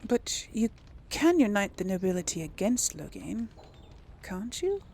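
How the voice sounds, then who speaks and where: A woman's voice: mid-range voice, very animated, measured pace, gravelly, a little mellow, slightly rough. A woman doing casual role-play commentary over a video game, musing in short asides. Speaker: gaming video host.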